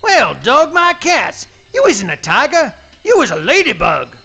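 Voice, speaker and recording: A man playing a blustering, boastful alligator in a live comic radio play, with a gravelly voice and folksy Southern swamp dialect. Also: very high voice, wildly swooping pitch, measured pace, sharp tone, faint music behind, full character voice. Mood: blustery. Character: blustering, boastful adult male cartoon alligator playing sheriff.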